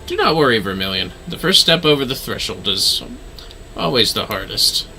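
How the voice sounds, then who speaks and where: A man voicing a calm, ominous dark knight mentor from a video game, in a measured, dryly formal and theatrical way. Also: mid-range voice, a little hiss or hum, full character voice, poor audio. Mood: grave, instructive. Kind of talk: video game dialogue read aloud.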